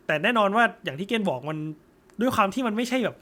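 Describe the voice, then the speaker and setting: A young man chatting casually about a film, thoughtful and often pausing to search for words. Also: sits high, expressive, fairly bright tone. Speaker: co-host.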